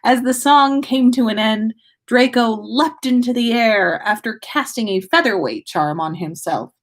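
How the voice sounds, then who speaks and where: A woman's voice: fairly high pitch, a little slow. A woman hosting a casual story livestream, reading aloud expressively and chatting with listeners. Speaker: podcast host reading listener fan fiction aloud.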